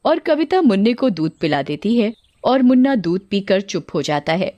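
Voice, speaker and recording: A woman's voice: mid-range voice, sing-song, moderate pace, mellow, dry recording, dull audio. A woman reading a scripted story narration for children.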